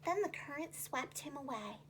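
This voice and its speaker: High, animated woman reading children's stories. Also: normal speed, noisy background. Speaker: preschool teacher, storyteller.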